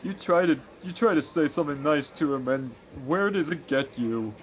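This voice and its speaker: An actor playing a cocky small-time crook in an old radio detective drama, slangy and halting, repeating himself. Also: male voice, a little high, close to an everyday voice, poor audio. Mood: sour, hesitant. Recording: faint background noise, home recording.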